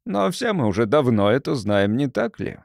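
A man's voice: mid-range voice, big pitch swings, normal speed, gravelly, darkish tone, no echo, clean studio sound. A man voicing a stern, irascible monsignor who questions sharply and suspiciously in an audiobook. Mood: grudging. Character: stern, irascible devil's advocate monsignor, suspicious male interrogator.

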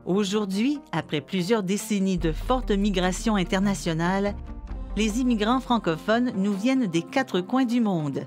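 A woman giving scripted documentary narration in a measured, even reading. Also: mid-range voice. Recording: voice-over with clear music underneath.

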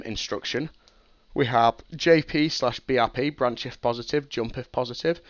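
A man's voice: mid-range voice, dry recording, dull audio. A young man with a cold, sniffly as he explains a processor design on a casual live stream, thinking aloud with ums and restarts. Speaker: streamer teaching game programming.

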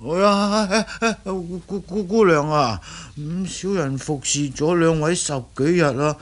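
A man acting as a cringing young disciple in a radio play. His voice is high and slow, full of stammers and exclamations. He boasts and grovels in the same breath. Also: natural voice. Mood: nervous, cringing. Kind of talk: Cantonese radio drama acting.

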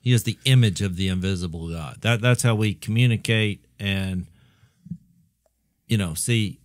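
Earnest low-voiced man on a podcast. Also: moderate pace, no room sound, studio quality. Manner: earnest, passionate, emphatic. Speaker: podcast co-host. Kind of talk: casual conversation. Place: small dark studio room. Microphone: close studio mic with headphones.

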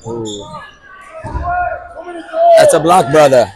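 A man doing live play-by-play commentary, with a raspy, hoarse voice from yelling, exclaiming often. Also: medium pitch, slow pace. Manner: excitable, lots of exclamations. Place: large indoor gym at a match. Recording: loud gym crowd and court noise.

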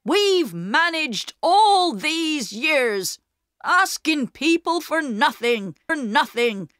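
Woman acting a proud working-class father, slow and emphatic. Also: high voice, big pitch swings, fairly bright tone, no room sound, studio quality, pitched above the performer's own voice, full character voice. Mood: angry, proud. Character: proud, gruff working-class father, poor but honest. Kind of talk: storybook character reading.

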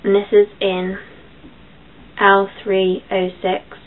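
A young woman with a croaky voice, chatting casually through a makeup tutorial in her bedroom, with filler ums as she goes. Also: medium pitch, monotone, slow. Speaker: beauty vlogger. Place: small bedroom. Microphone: built-in webcam mic. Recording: tinny lo-fi webcam audio, faint hiss.

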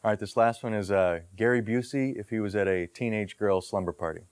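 A man's voice: lowish voice, steady tone, brisk, darkish tone, clean tone, no echo. A man in his thirties casually introducing bits to camera as a comedian, on a lapel mic. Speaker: comedian presenting a character reel. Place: indoor room with brick wall.

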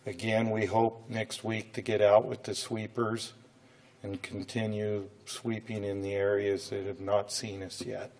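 A middle-aged American man speaks slowly and haltingly at a podium, as a city official addressing a council. His voice is on the low side with little melody, and a cold makes it come and go. He keeps his presentation informal and plain-spoken. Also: level tone, a little mellow. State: voice coming and going from a cold. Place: indoor council chamber, standing at a podium.

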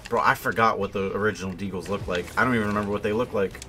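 A man's voice: on the low side, steady tone, racing, pushed and bright. A young man giving casual gameplay commentary in a slangy, informal, conversational way. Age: young adult. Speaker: gaming video host. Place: indoor room at home, gaming setup.

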